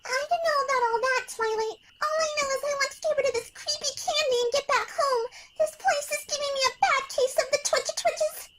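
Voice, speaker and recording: A woman's voice: very high-pitched, fairly quick, few pauses. A woman voicing a bubbly, jittery, childlike female cartoon pony with a folksy way of talking, in a dramatised story. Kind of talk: dramatised fan fiction reading. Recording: loud noise or music behind voice.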